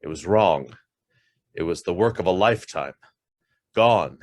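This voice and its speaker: An actor playing a guilt-ridden, fervent embezzler on the run in a radio drama, with an emphatic, theatrical delivery. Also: male voice, deep voice, lively intonation, unhurried, no room sound, clean studio sound. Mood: bitter confession. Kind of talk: stage-style radio drama acting.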